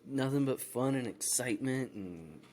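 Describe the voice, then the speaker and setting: A man's voice: mid-range voice, expressive, moderate pace, a little mellow, slight background noise. A man co-hosting a gaming podcast, talking casually. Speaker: podcast co-host.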